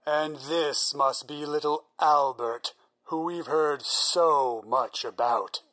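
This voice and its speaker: A man's voice: on the high side, fairly bright tone. A man playing a driven, obsessive scientist. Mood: polite. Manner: earnest, persuasive, measured. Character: driven, single-minded male scientist. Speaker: researching psychologist. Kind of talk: audio drama acting.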